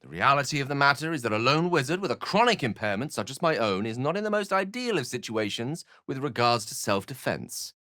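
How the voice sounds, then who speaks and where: Scholarly wizard, courtly, articulate, lively intonation, dry close recording, fantasy game dialogue. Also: male voice, mid-range voice, brisk, few pauses, dry recording. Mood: urgent, wary. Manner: theatrical, articulate, wordy and formal. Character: learned, courtly male human wizard, eloquent and earnest. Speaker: video game voice actor.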